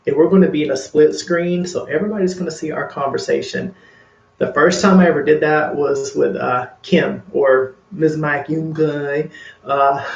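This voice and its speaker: A man's voice: on the high side, vocal fry, reverberant, highs cut off, slight background noise. A man casually chatting to his livestream viewers, rambling and earnest with many fillers. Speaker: makeup vlogger.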